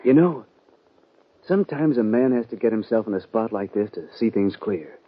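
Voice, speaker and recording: Earnest young cowpoke on the run, kinda rough husky voice, dull old radio recording. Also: male voice, on the low side, animated, normal speed. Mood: tender, wistful. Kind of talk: 1950s radio western acting.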